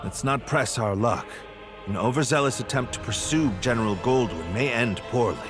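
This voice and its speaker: A man's voice: mid-range voice, normal speed, over music, natural voice. A man playing a self-assured, shrewd royal strategist in a video game, speaking in formal, eloquent phrases. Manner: formal, eloquent, smooth-talking. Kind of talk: dramatic game cutscene acting.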